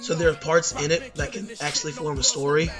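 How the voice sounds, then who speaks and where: A hoarse young man ranking albums in a rambling, casual talk, full of fillers and self-corrections. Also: on the high side, little pitch movement, a little fast, over music. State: hoarse from losing voice at a concert. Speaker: YouTube music reviewer.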